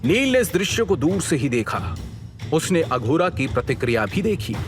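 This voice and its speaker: A man narrating a scripted fantasy audio drama in an expressive, suspenseful storytelling manner. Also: a little high, normal speed, over music.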